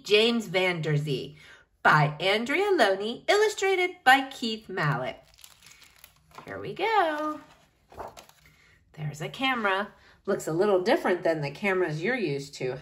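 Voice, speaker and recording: A woman reading a picture book aloud to children in an expressive, animated way, speaking directly to her young listeners. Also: medium pitch.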